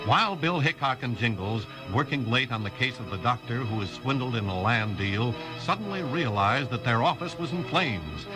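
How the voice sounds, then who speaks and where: American radio announcer over loud music, male. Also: medium pitch, lively intonation, normal speed, few pauses, poor audio. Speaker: announcer and narrator. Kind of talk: 1950s radio scripted narration.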